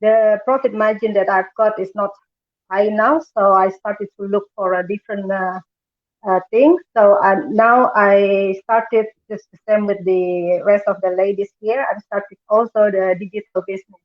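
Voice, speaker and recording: A woman in her forties from Indonesia, talking through her story with many pauses and fillers on a video call. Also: mid-range voice, steady tone. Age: 40s. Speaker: panel guest. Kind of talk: casual online panel talk. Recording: tinny phone-like call audio.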